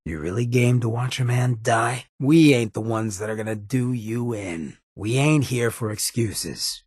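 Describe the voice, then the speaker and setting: An actor playing a roguish, irreverent ghoul in a video game, with a raspy American voice and a wry, cocky, streetwise drawl. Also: male voice, medium pitch, dry recording, clean studio sound, full character voice. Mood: menacing, firm. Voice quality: raspy, gravelly. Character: roguish drug-loving irradiated ghoul, swaggering, irreverent, loyal. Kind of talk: video game companion dialogue.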